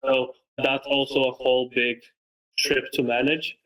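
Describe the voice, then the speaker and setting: A man's voice: medium pitch, flat delivery, unhurried, a bit bright, poor audio. A young man from Romania, a native Romanian speaker, talking as a guest in a casual interview in an earnest, reflective way with plenty of fillers and restarts. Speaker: guest, football creator and coach. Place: lives in Massachusetts.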